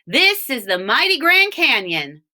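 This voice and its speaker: A woman's voice: high voice, sing-song, unhurried, bright, forceful tone, pitched above the performer's own voice, exaggerated voice. A woman voicing a friendly, folksy cowgirl guide from a children's storybook, hearty and showy. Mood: folksy pride. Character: friendly cowgirl on horseback, proud Western guide.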